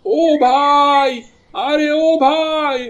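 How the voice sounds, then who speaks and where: A man's voice: extremely high pitch, flat delivery, very slow, fairly bright tone, muffled recording, pitched above the performer's own voice, full character voice. A man voicing a mysterious pleading stranger from a riverside jungle, with a coaxing way of calling and asking.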